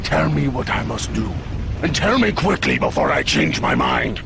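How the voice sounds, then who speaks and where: A male actor playing a brutal, proud, menacing monster warrior in a fighting game, with a growling, gravelly voice. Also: medium pitch, wildly swooping pitch, moderate pace, background noise, full character voice. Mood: grudging menace. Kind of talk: fighting game cutscene acting.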